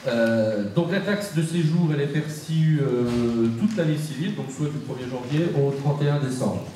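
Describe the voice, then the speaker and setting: A man delivers a formal council report in a mellow voice. He proceeds haltingly, pausing and doubling back on words. The sound is very reverberant and picked up from a distance. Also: mid-range voice. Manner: halting, hesitant delivery with frequent pauses and repeated words. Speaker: council vice-president presenting reports. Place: large indoor council meeting room. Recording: echoey council hall, distant mic, faint noise.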